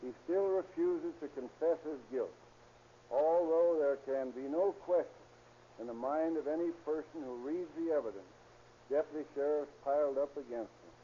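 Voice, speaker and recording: A man's voice: on the high side, moderate pace, dark tone. A man speaking as a sheriff, giving a formal, earnest, moralizing scripted address on 1930s radio. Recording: muffled, hissy 1930s radio recording.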